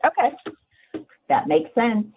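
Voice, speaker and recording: A woman's voice: a little high, big pitch swings, slow pace, a little hiss or hum. A woman calling in with informal questions at a public hearing. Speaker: caller asking about funding. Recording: narrow, tinny phone line.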